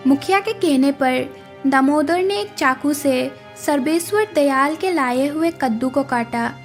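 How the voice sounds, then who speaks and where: A woman's voice: sits high, a little slow, faint music behind. A woman reading scripted narration for a moral story.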